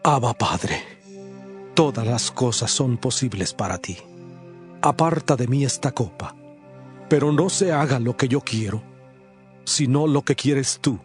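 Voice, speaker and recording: A man playing a grave, authoritative male religious teacher in a dramatised scripture audiobook, speaking in a solemn, measured way. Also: medium pitch, soft background music, natural voice. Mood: sorrowful.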